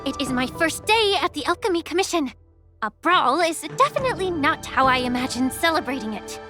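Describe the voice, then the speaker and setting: A woman playing a poised, gently sly healer and official in a video game, polite, measured and coaxing. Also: sits high, sing-song. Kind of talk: scripted anime-style video game dialogue. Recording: background music under dialogue.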